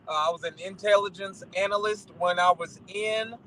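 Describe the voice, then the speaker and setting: A man's voice: sits high, steady tone, normal speed, pushed and bright, low-quality recording. An American man speaking as a guest on a talk show, in casual motivational conversation.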